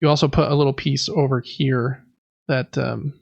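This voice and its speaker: A man's voice: medium pitch, level tone, normal speed, vocal fry, no room sound, muffled recording. A man hosting a casual board game podcast, chatting and explaining things in a conversational way. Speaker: podcast host.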